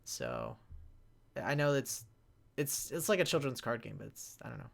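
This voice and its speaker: Creaky-voiced man rambling through a casual Q&A. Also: medium pitch, wildly swooping pitch. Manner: halting, rambling, self-interrupting. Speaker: panelist, web series creator answering fan questions. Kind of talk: casual live Q&A, reading questions aloud.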